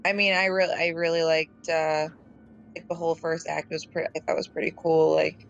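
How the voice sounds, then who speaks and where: A man's voice: fairly high pitch, little pitch movement, moderate pace, gravelly, fairly bright tone. A man chatting casually as a tabletop game player. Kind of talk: casual chat. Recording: dull lo-fi sound, faint noise.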